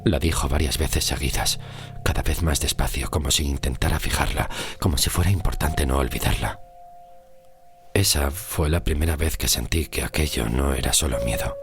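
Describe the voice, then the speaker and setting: A man narrating a scripted horror story in the first person, in a deliberate, hushed delivery with dramatic pauses. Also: extremely low pitch. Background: music under the voice.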